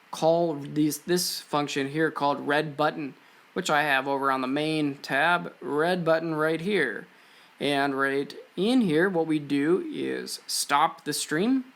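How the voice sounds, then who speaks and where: A man's voice: on the high side, fairly bright tone. A man presenting an electronics and coding tutorial in an informal, offhand way, explaining things step by step as he walks through code. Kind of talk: casual screen-share code walkthrough.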